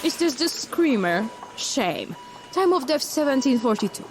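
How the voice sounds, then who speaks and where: A woman voices a callous doctor, curt and detached. Her voice sits high and leaps about sharply, set back from the mic with music underneath. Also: sing-song, a little slow. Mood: curt cold. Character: cold, callous female doctor.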